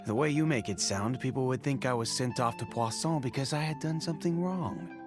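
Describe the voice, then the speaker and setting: A man's voice: mid-range voice, a little fast, music in the background, close to an everyday voice. A man voicing an easygoing, wry town guard in a video game, with breezy interjections. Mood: breezy, defensive. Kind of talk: video game voice acting, dramatic dialogue.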